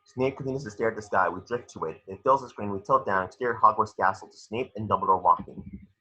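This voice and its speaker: A man narrates stage directions from a script. His voice is low and a bit raspy, with a hard, very bright edge. The recording is a little dull. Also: quick pace.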